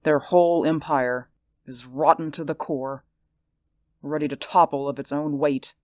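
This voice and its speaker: A man voicing a composed, hard-edged older woman who leads a council, speaking quietly and firmly. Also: on the high side, fairly even intonation, measured pace, no room sound, clean studio sound, pitched above the performer's own voice, close to an everyday voice. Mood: grave. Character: cool, commanding older woman council leader.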